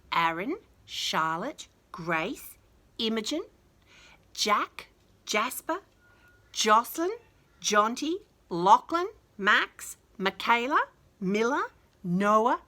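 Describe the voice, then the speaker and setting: Woman, Australian accent, children's storyteller, very animated intonation, fairly high voice, affectionate, chatty read-aloud. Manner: expressive, affectionate, child-directed.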